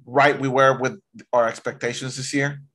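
A man's voice: medium pitch, little pitch movement, normal speed, a bit bright. A man in his thirties co-hosting a fan podcast over a video call, talking loosely and animatedly. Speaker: podcast co-host. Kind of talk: casual sports chat. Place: home room, video call.

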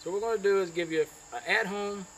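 A man's voice: sits high, fast talker, fairly bright tone, a little hiss or hum, rough recording. A middle-aged man giving a casual, folksy how-to demonstration outdoors in his backyard, talking to a camera mic. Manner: folksy, chatty, with frequent uh fillers. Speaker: small farm owner demonstrating.

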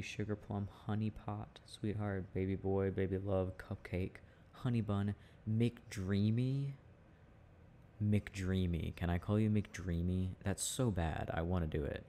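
Easygoing teasing boyfriend guy acting it out, intimate asmr chat, real low and mellow, rambles haltingly. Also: slight background noise. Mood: relaxed musing, then playful teasing. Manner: halting, musing, chuckling delivery. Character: teasing, easygoing boyfriend chatting with his partner. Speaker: audio roleplay performer playing a boyfriend.

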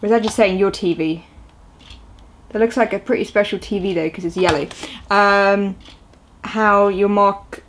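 A young woman talks casually to a camera from a small room at home, her voice sitting at an everyday middle pitch. She rambles through an unboxing with plenty of ums and uhs, and a faint hiss sits under the recording. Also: steady tone, normal speed. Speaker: video host. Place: small indoor room at home, seated on a sofa.

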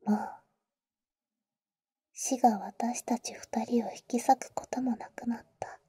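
A female vampire acted by a woman whispers slowly and very softly, right up against a dry microphone. Her voice is high and very mellow, with a teasing, tender big-sister manner suited to intimate roleplay ASMR. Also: steady tone, no room sound, exaggerated voice. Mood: devoted. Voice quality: soft, breathy, mostly whispered. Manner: slow, tender, teasing, boyish casual phrasing. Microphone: close, dry ASMR microphone.

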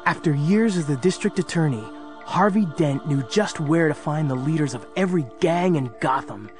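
A man's voice: on the high side, normal speed. A man narrating an audio story in a dramatic, scripted storytelling style. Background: music.

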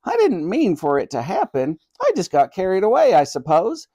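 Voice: mid-range voice, wildly swooping pitch, moderate pace, dark tone, slightly rough, voice pushed up. A reader voicing a kindly, apologetic elderly grandmother who speaks earnestly and politely in a children's story. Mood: sheepish, confessing.

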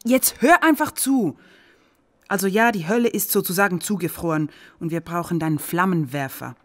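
A woman's voice: lowish voice, moderate pace, close to an everyday voice. An actress playing a skeptical young woman who talks plainly and directly in a radio play. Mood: urgent. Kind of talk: radio drama acting.

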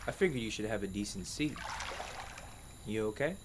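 Man as gentle young adventurer, water noise behind. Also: on the low side, animated, moderate pace, natural voice. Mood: gentle, reassuring. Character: gentle, easygoing young adventurer, reassuring companion. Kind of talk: animated film dialogue. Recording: film scene with water noise.

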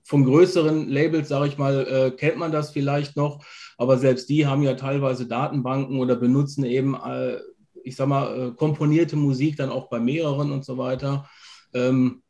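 A man in his thirties hosts a relaxed, informal interview. His voice sits at a middle pitch, and he talks in a chatty way over a video call from home. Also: moderate pace. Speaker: interviewer, host. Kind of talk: casual interview chat. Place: living room on a video call.

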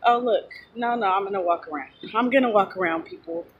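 A woman's voice: medium pitch, a little fast, narrow, tinny audio, a little hiss or hum. A woman in her thirties, a truck driver, chatting casually and rambling to her phone camera outdoors in a parking lot. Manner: rambling, chatty, loses her train of thought. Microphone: phone at arm's length.

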